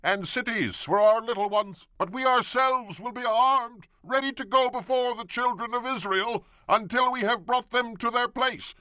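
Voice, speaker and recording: Earnest tribesman in a bible audio drama, high forceful voice, declaring stuff to his leader. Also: dry recording, poor audio.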